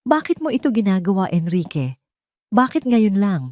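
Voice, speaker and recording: A woman's voice: medium pitch, very animated, moderate pace, a little mellow, no room sound, studio quality, pitched above the performer's own voice, natural voice. A woman voicing a betrayed wife in an audio drama, wounded yet shrewd, with a controlled, guarded manner. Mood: hurt, questioning. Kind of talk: Tagalog audio drama dialogue.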